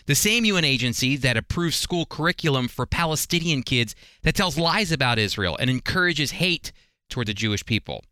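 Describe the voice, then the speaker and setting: A man's voice: a little high, pushed and bright, smooth, dry recording. A man teaching the Bible as a radio host in a conversational, earnest and explanatory way.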